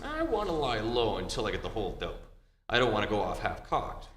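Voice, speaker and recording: An actor playing a wry, teasing private detective in an old-time radio drama re-enactment. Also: male voice, fairly low pitch, huge pitch swings, fairly quick, a bit bright. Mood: confident. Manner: glib, teasing, wisecracking. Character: wry, unhurried male private detective, fond of his wife. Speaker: amateur stage actor. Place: live theatre performance, heard in a hall. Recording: echoey hall stage recording with noise.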